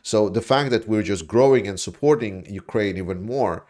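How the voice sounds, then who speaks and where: A man from Latvia, hosting a casual livestream and reading viewer chat, rambling and animated, with frequent swearing. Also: lowish voice, moderate pace. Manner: rambling, animated, swears, mocks opponents in put-on voices.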